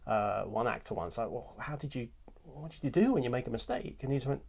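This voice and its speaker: A middle-aged British man chatting casually as an interview presenter, effusive and quick to agree with his guest. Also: mid-range voice, animated, vocal fry, darkish tone. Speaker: presenter, audiobook producer and director. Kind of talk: casual one-on-one interview chat.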